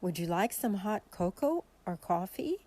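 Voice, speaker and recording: A woman playing a kindly, sympathetic shelter volunteer in a radio drama, gentle and solicitous. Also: mid-range voice, very animated, normal speed.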